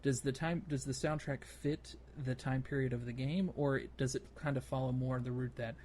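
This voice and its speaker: A man hosting a casual gaming podcast, talking in a halting way as he thinks aloud. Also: mid-range voice, fairly even intonation, darkish tone, a little hiss or hum. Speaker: podcast host.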